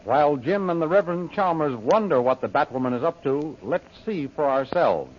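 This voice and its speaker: Higher-pitched man announcing an old radio serial. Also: expressive, normal speed. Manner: dramatic, formal announcer delivery. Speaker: announcer and narrator. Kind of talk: 1930s radio serial narration, scripted. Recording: dull old radio recording with music.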